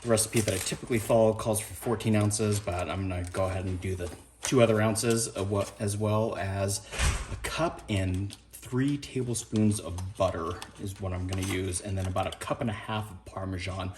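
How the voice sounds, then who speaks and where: A man's voice: on the low side, steady tone, normal speed, reverberant, noisy background. A man chatting casually as he cooks in his home kitchen, laid-back and swearing now and then. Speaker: home cook vlogger. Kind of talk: casual cooking narration.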